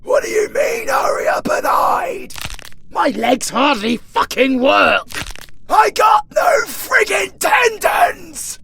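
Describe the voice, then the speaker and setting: Wildly theatrical British undead necromancer. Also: male voice, sing-song, slow, gravelly, pushed and bright, shouting, a little hiss or hum, full character voice. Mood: indignant, frantic. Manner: theatrical, swinging from sly whispers to shrill shrieks. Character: gleefully evil undead necromancer, flirty and foul-mouthed. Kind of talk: audio roleplay acting spoken to the listener.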